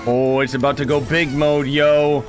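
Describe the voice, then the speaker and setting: A man's voice: mid-range voice, level tone, normal speed, faint music behind. A man reacting to a game story with sarcastic, joking commentary. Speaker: gaming streamer. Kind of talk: casual commentary over gameplay.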